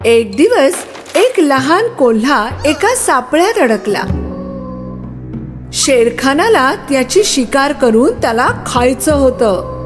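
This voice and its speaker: Female children's story narrator: high, animated, leisurely delivery over clear background music. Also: takes it slowly.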